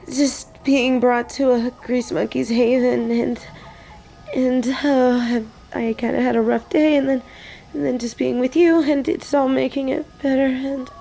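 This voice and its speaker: A young woman playing an excitable, sassy biker and machine nerd on a date in a romantic audio roleplay. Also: a little slow, vocal fry. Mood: flustered. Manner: gushing and teasing, swinging between squeals and low deadpan. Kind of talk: romantic audio roleplay, scripted monologue to an unseen listener.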